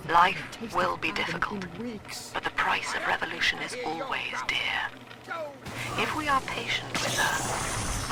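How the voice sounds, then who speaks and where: An actress voicing a calm, cold middle-aged woman psychiatrist who leads a cult in a video game, speaking in a measured, soft, controlled way. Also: on the low side, gravelly. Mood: hushed tender. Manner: measured, soft-spoken, controlled and coldly gentle. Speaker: game character. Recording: reverberant with music behind.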